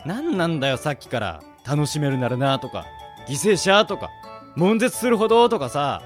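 A man acts out a wary young man in a radio drama. His voice sits high and moves up and down a lot as he grumbles and fires back blunt retorts over a music bed. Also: sing-song, normal speed. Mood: wary, exasperated. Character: wary, exasperated young man among drinking buddies, Kenji. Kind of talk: amateur radio drama acting.

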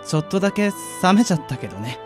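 A man playing a gentle, earnest young man who is shyly in love, in a radio drama. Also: high-pitched, sing-song, quick pace, over music.

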